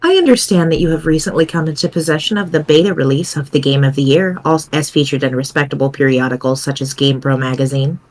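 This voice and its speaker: A person playing a formal, dry, sardonic chat friend in a dramatic webcomic reading, with measured phrasing. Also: mid-range voice, natural voice. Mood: dry, exasperated.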